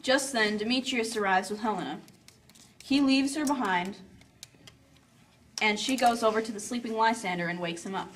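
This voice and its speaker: A woman with a fairly high voice narrates a scripted story, dropping in playful asides. She sounds a little removed from the microphone, with faint noise behind her. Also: moderate pace, long pauses. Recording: slightly distant, faint background noise.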